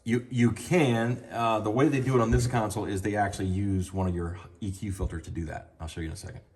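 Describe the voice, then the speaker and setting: A man's voice: lowish voice, steady tone, fast, darkish tone. Man teaching at a sound desk. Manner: casual, explanatory, speaking into a handheld mic while adjusting a mixing console. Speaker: audio trainer. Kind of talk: hands-on sound desk tutorial. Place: church sound booth at a mixing console. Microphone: handheld microphone.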